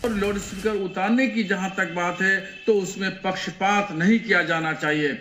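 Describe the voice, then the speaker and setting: A man's voice: high voice, fairly even intonation, moderate pace, pushed and bright, soft background music. A man, a cleric, giving an unscripted statement in an echoey room.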